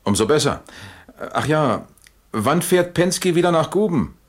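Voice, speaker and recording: An actor playing a wry, unhurried police captain in a German radio crime drama, with a dry, matter-of-fact delivery. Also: male voice, fairly high pitch, lively intonation, a little hiss or hum. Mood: brisk, commanding.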